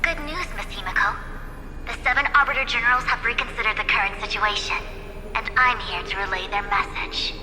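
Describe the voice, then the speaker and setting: An actress playing a proud, steadfast military envoy in a video game, with formal, declarative delivery. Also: female voice, fairly high pitch, fairly quick, close to an everyday voice. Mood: solemn, determined. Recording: long reverb, loud music behind.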